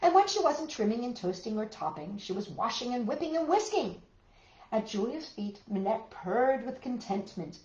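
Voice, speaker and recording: A woman's voice: fairly high pitch, sing-song, moderate pace, a little mellow, muffled recording. A woman reading a picture book aloud to children with dramatic emphasis and chatty asides to her listeners. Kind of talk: expressive children's read-aloud. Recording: roomy, echoey room sound.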